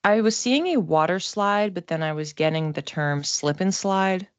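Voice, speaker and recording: A woman giving a casual, informal tarot reading as a psychic, reflective and halting, often giggly as she talks. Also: lowish voice, clean tone, no echo.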